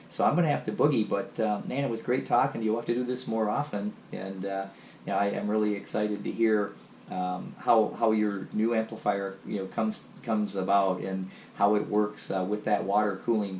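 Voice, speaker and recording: A man with a cold chatting casually over ham radio, relaxed and full of fillers. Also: on the low side. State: has a cold. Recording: echoey room, faint noise.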